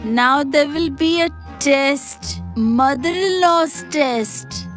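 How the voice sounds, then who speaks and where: A woman's voice: sits high, expressive, full character voice. A woman playing a bossy, stern older mother-in-law who scolds and demands in a cartoon moral story. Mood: resolute. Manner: scolding, slow, deliberate delivery. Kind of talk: animated moral story dialogue. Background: music.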